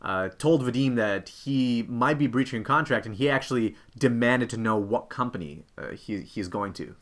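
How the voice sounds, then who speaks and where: A man's voice: medium pitch, moderate pace, a bit bright. A man co-hosting an instructional video, explaining things to viewers as a career coach. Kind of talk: instructional presenting to camera.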